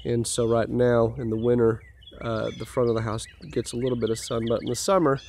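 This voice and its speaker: A man's voice: medium pitch, little pitch movement, brisk, darkish tone, a little hiss or hum. Man with a stuffy head cold. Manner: easygoing, rambling with fillers. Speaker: homeowner vlogger. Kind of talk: casual site walkthrough. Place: outdoors at a house build site.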